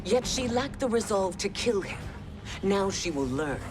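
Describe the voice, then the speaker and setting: An actress playing a regal, scheming middle-aged empress in a video game, with formal, measured, haughty speech. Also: female voice, mid-range voice, big pitch swings. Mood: cold, commanding. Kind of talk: dramatic video game acting. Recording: loud music behind. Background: cinematic music.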